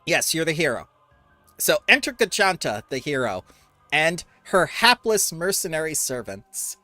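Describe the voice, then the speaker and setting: Brief: animated tabletop GM, laughs easily, wide pitch swings. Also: unhurried, dry recording, soft background music. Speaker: game master. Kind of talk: narrating a tabletop game.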